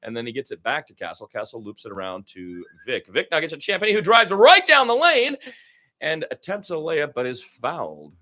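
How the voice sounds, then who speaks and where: A man's voice: on the high side, huge pitch swings, fairly quick, sharp tone. A man doing amateur radio-style basketball commentary in a conversational, folksy manner. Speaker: play-by-play commentator.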